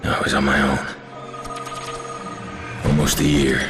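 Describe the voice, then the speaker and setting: Deep-voiced haunted captive soldier. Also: male voice, completely flat delivery, unhurried, music in the background. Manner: terse, brooding. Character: haunted, worn-down captive American soldier under interrogation. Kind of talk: dramatic video game acting.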